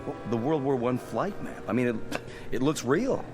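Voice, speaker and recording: Casting: male actor for eager young corporal, deferential, hesitant, lively intonation, clear music behind. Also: mid-range voice, moderate pace, natural voice. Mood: intrigued, deferential. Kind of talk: radio drama acting.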